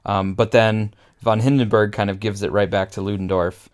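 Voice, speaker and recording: A man's voice: lowish voice, little pitch movement, normal speed. A man co-hosting a podcast, analysing film in a casual conversational way. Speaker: podcast co-host.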